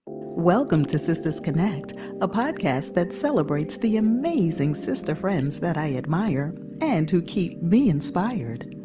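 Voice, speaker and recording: Low-voiced woman hosting a podcast. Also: very animated, measured pace. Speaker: podcast host. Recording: echoey with music behind.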